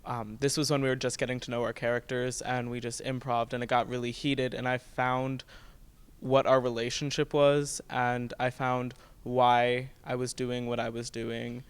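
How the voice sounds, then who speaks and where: A teenage American boy with a medium-pitched, very clear voice. He gives measured, explanatory interview answers as a student actor, keeping his intonation quite flat. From United States. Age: teens.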